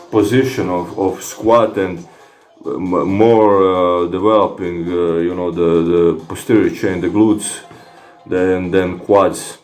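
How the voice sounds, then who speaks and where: A man with a Croatian accent who speaks Croatian natively, casually answering comments from viewers in a fitness vlog, halting with many fillers and restarts. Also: deep voice, darkish tone, roomy sound. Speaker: fitness vlogger.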